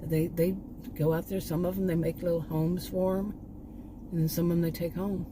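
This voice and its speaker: A woman vlogger chatting casually, meandering and restarting her sentences, her voice going hoarse. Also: lowish voice, level tone, moderate pace, background noise. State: losing her voice. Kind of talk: rambling casual chat. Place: outdoors.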